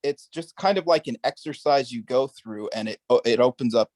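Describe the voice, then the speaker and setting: A man speaking in a casual group discussion, measured and a little hesitant. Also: mid-range voice, a little mellow, dry recording. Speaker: study group participant.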